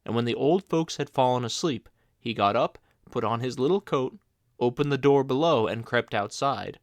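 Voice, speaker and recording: A man's voice: mid-range voice, normal speed, no room sound. Man reading a fairy tale aloud. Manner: steady, clear storybook reading. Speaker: narrator.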